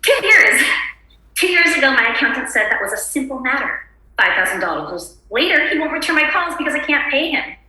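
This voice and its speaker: A woman's voice: high-pitched, big pitch swings, brisk, sharp tone, full character voice. A woman acting a frazzled suburban housewife at a screenplay table read, insistent, nervous and desperately polite with brittle cheer. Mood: frantic, exasperated. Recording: very echoey room recording.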